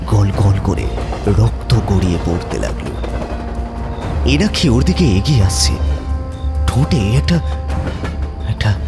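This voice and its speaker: A man narrating a horror story in a suspenseful, theatrical manner with dramatic pauses. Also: medium pitch.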